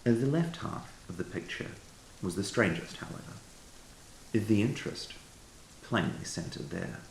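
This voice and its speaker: Guy reading an old ghost story audiobook, really croaky fry in his voice, formal and measured, roomy echo. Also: on the low side. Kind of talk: scripted literary audiobook narration. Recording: echoey room with faint hiss.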